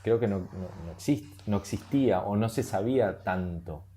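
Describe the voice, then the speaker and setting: Low, dark-toned Argentine male co-host who hesitates and repeats himself often. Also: moderate pace, slight background noise. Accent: Argentine Spanish. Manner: hesitant, many fillers and repeated words. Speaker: podcast co-host. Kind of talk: casual chat.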